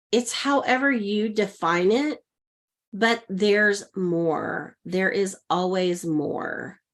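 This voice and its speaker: A middle-aged woman, a leadership coach, telling personal stories as a guest in an earnest, upbeat way and laughing often. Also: on the low side. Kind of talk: personal storytelling in conversation.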